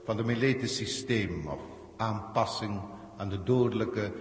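A man's voice: fairly low pitch, a little slow, close to an everyday voice. Man acting a cold, echoing alien overlord. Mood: stern, ominous. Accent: Netherlandic Dutch. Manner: formal, pedantic, condescending. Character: cold, haughty alien galactic proctor judging humans. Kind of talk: 1960s radio drama acting. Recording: echoey hall reverb, 1960s radio recording.

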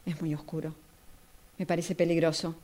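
A woman's voice: low-pitched, flat delivery, normal speed, a little mellow, lightly acted. An Argentine woman acting in a radio drama as a nervous, bossy driver, anxious and argumentative. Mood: scared. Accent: Argentine, Rioplatense.

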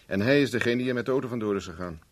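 An actor in a 1970s Dutch radio play, playing a cocky private investigator with a dry, teasing, self-mocking manner. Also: male voice, mid-range voice, rapid-fire, close to an everyday voice. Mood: dry, probing. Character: wry, cocky male private investigator for hire.

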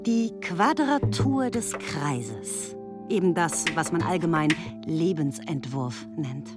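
Lower-pitched woman, scripted narration, slow announcing, music behind. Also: expressive.

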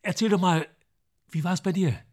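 An actor in a radio play as an eager, practical musician friend, anxious and placating, talking in a natural, coaxing way. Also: male voice, high-pitched, normal speed, a little mellow.

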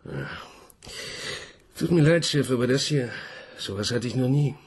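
A man's voice: mid-range voice, expressive, unhurried, darkish tone, slightly rough. An actor playing a junior police detective in a radio crime drama, eager and deferential, chatty with his boss.

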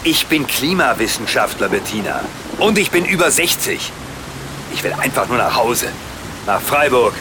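Actor as a weary, lively-pitched older professor. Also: male voice, normal speed, natural voice. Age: 60s. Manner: exasperated, bitter. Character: weary, disillusioned climate professor in his sixties, bitter husband. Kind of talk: satirical radio play dialogue. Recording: clear background noise, rain and vehicle ambience.

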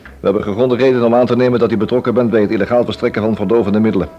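A man playing a firm, formal police inspector in a Dutch radio drama, speaking in a measured, matter-of-fact official way. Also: fairly low pitch, steady tone, lightly acted. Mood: stern, official. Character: businesslike male police inspector making an arrest, firm and formal. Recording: dull, lo-fi radio drama recording.

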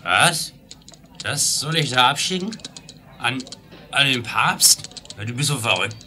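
Flustered telegraph clerk, piercingly bright. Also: male voice, medium pitch, expressive, measured pace. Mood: baffled, disbelieving. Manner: hesitant, incredulous, protesting. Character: flustered, timid telegraph operator of the Wild West. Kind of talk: 1970s German radio play acting. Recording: slightly noisy 1970s record.

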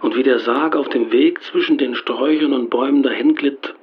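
A wry, observant man tells his story in a radio play, played by a male actor. His medium-pitched voice comes through a slightly dull recording. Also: fairly quick, lightly acted. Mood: wry recounting. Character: man recounting a colleague's funeral, wry and observant. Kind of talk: radio drama acting, narrating a recent event.

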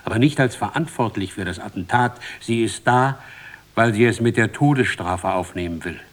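A man's voice: on the low side, moderate pace. A man narrating a literary script in an ironic, rhetorical manner.

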